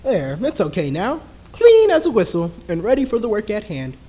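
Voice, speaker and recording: A man playing a smooth, menacing husband in an old-time radio thriller, outwardly soothing and patronizing. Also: high-pitched, huge pitch swings, moderate pace, mellow, full character voice. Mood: toying, menacing. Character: smooth, menacing husband toying with his frightened wife.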